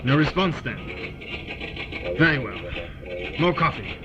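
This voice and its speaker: A man's voice: a little high, expressive, unhurried. A man playing an impatient, commanding military colonel in an audio drama, brusque and authoritative. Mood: brisk command. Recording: dull lo-fi sound.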